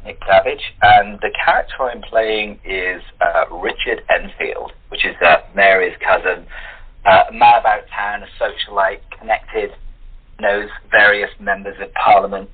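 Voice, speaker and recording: A man with a deep, husky voice chats informally as an interview guest. His manner is casual and jokey. The audio is thin and narrow, like a telephone call. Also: steady tone, unhurried. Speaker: radio play actor as guest. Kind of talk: casual joking chat. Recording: tinny, narrow phone-line sound.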